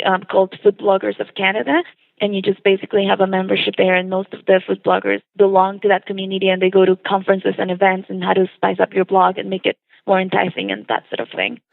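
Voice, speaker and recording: A woman from Colombia chats eagerly about her passion as a phone-in guest. Her voice comes over a thin, narrow phone line with no room sound at all. She laughs easily and talks in long, lively runs. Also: mid-range voice, vocal fry, dry recording. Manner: enthusiastic, chatty, laughs often. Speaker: food blogger guest. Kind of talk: phone interview. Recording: tinny dry phone line.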